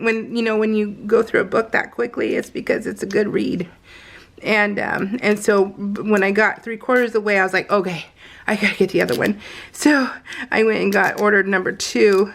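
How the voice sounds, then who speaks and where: A woman's voice: medium pitch, moderate pace, vocal fry, fairly bright tone. A middle-aged woman chatting casually over a craft video, gushing and slangy, telling stories with plenty of exclamations. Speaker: craft vlogger.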